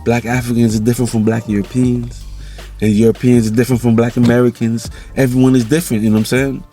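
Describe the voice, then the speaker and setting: A man's voice: mid-range voice, little pitch movement, fast, dark tone, faint music behind. Jamaican man musing in a casual vlog. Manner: loose, rambling, repeats "you know". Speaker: vlog host.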